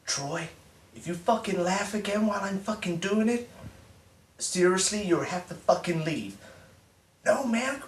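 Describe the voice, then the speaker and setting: Guy playing a foulmouthed bratty american dude, high and cocky, roomy echo. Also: lively intonation, a little slow, long pauses, recorded from a distance. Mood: crude prank calling. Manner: loud, profane, swaggering, boorish. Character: brash crude young American man, president's son. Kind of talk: mockumentary comedy acting.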